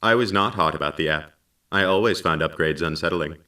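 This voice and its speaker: A man voicing a dry, wry station computer in a sci-fi audio drama, with a measured, deadpan delivery. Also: sits low, far from the mic. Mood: dry, composed. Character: dry, wry male-voiced station computer narrating its memories. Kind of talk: scripted audio drama dialogue and narration.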